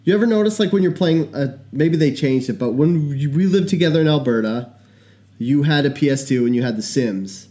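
A young man co-hosting a podcast in casual chat, animated and quick to laugh. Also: fairly high pitch. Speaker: podcast co-host.

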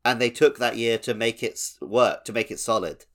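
A man's voice: medium pitch, fairly even intonation, normal speed, a bit bright. A man hosting a gaming podcast in casual, conversational chat. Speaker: podcast host.

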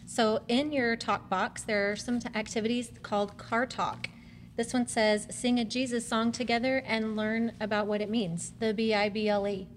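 Higher-voiced woman in a reverberant, noisy room. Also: little pitch movement, normal speed. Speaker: mother, guest presenter. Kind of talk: explanatory family talk. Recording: reverberant room with background noise.

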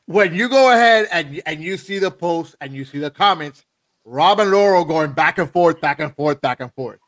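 A man's voice: a little high, expressive, normal speed, a bit bright, no room sound. A man hosting a casual talk show, stressing points and checking in with "okay?". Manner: emphatic, repeats "okay". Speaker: show host.

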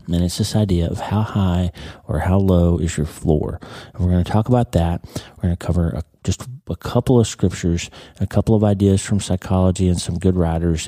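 A man hosting a podcast in a casual motivational talk, his voice congested and a little croaky, often repeating words as he goes. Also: low voice, mellow, no echo, clean studio sound. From United States. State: congested, stuffy. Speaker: podcast host.

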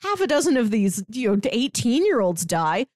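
A woman's voice: on the high side, big pitch swings, moderate pace, a little mellow, dry recording, studio quality. A woman co-hosting a podcast, chatting casually and expressively as she discusses stories. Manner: acts out characters' lines while retelling. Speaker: podcast co-host reviewing comics.

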